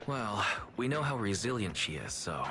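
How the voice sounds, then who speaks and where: A man playing an easygoing, playful young manager in a video game, reassuring and quick to joke. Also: on the low side, very animated, a bit bright. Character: easygoing, joking young male shop manager.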